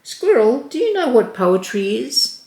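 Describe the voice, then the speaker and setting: A woman voicing an eager, polite young boy in a storybook read-aloud, with a bright, questioning manner. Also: on the high side, very animated, a little slow, slight background noise, voice pushed up. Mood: curious. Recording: roomy echo.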